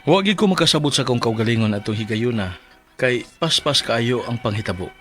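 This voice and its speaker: A man's voice: mid-range voice, lively intonation, a little fast, a bit bright, lightly acted. An actor with a Cebuano accent playing an earnest, ailing 45-year-old husband who tells his troubles in a Philippine radio drama. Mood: earnest, troubled. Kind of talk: Philippine radio drama acting and letter narration. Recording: music bed under voice.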